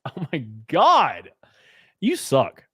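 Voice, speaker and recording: A man's voice: sits high, wildly swooping pitch, extremely slow, sharp tone, breathy edge, no echo, studio quality. A man hosting a casual livestream, crude, joking and teasing. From Southern US. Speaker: streamer host.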